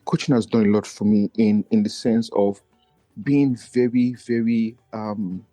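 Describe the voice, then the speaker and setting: A man's voice: fairly even intonation, unhurried, mellow, no room sound, faint music behind. A man, a podcast guest talking in an earnest, searching way and often restarting his thoughts. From Nigeria. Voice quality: low voice he feels does not carry. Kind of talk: reflective personal conversation.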